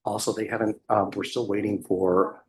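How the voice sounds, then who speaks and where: Man, very deep voice, defense lawyer, soft-spoken, faint hiss in a courtroom. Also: level tone, normal speed, low-quality recording. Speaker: defense attorney. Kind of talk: courtroom address.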